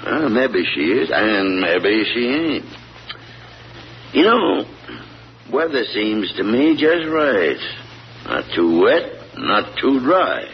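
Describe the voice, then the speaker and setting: An actor playing a weary rural farmer father just out of prison, in a slow folksy drawl, in 1940s radio acting style. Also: mid-range voice, very animated. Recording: noisy lo-fi old radio recording.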